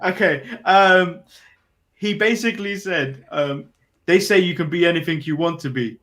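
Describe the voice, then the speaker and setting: Male co-host, fairly high voice, easygoing recapper, hesitant and amused. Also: normal speed, fairly bright tone. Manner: recaps from notes, hesitant fillers, laughs. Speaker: podcast co-host. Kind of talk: casual wrestling review chat.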